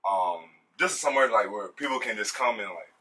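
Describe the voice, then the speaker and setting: Low-voiced young American man, laid-back and slangy. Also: animated, a little fast, bright, forceful tone. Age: young adult. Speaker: athlete and clothing brand founder. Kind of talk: casual unscripted chat. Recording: clearly noisy background with clicks.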